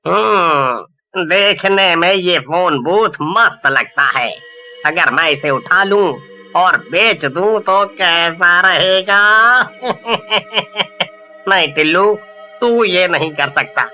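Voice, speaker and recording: Man playing a cocky, high-pitched thieving junk dealer. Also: extremely slow, pushed and bright, soft background music, heavily acted. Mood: scheming. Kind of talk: Hindi animated horror story acting.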